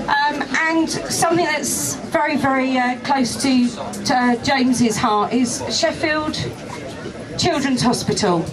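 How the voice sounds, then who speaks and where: A woman with a loud voice hosting a live event, joking and brisk with the audience. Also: high-pitched, music in the background, poor audio. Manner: jokey, brisk. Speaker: event host. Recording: live event with crowd noise.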